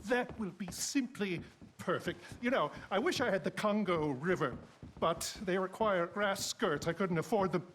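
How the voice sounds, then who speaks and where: Flamboyant, swooping portrait photographer. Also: male voice, high-pitched, a bit bright, slight background noise, exaggerated voice. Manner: effusive, fussy, flamboyant. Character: effusive, fussy, flamboyant old-time portrait photographer. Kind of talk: theatrical video game acting.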